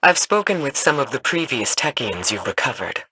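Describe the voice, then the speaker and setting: An actress playing a grave, analytical scholar-commander in a video game, speaking in a measured, serious, inquiring way. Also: female voice, a bass voice, very animated, background noise.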